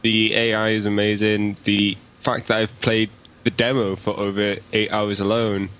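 A man's voice: on the low side, flat delivery, moderate pace, a bit bright, rough recording. A man giving casual, plain remarks as a podcast guest on an internet call. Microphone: Skype call.

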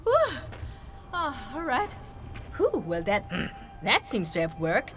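A woman playing a curious, informal young human adventurer in a fantasy audio drama, with a hesitant, expressive way of talking. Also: a little high, slow pace, full character voice. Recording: music behind the voice.

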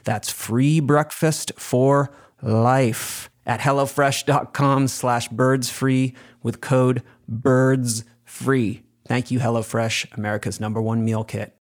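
Guy doing a podcast ad read, show creator, medium pitch, scripted. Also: little pitch movement, takes it slowly. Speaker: show creator reading a sponsor message.

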